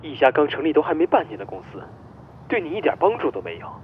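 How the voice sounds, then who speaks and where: A man's voice: on the high side, huge pitch swings, quick pace, narrow, tinny audio, a little hiss or hum. A man playing a possessive boss and obsessive spurned lover in a melodramatic Chinese audio drama. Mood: frustrated, reproachful.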